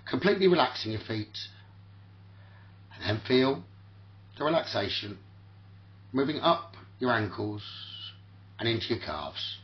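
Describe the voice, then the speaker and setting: Male sleep-talkdown host, soothing and slow, repetitive phrasing with long gaps, very varied intonation, dull lo-fi audio. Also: medium pitch, very animated. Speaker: guided meditation host. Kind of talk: guided sleep meditation, spoken relaxation talkdown.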